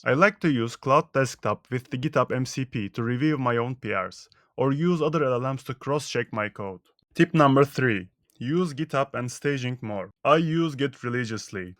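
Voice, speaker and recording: Brisk man, Turkish native, dry close mic. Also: medium pitch, fairly bright tone, dry recording. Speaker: software engineer. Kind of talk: scripted tutorial narration.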